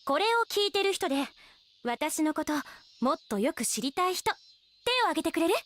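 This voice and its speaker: Sharp-tongued teenage girl, very high voice. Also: lively intonation. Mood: smug. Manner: scolding, haughty, quick to retort. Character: sharp-tongued, proud eighteen-year-old girl genius researcher. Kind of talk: Japanese anime radio drama acting.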